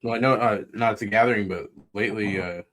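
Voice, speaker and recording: A man chatting casually on a podcast panel, rambling and halting as he goes. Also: fairly low pitch, fairly bright tone. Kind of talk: casual chat. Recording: clear background noise with clicks.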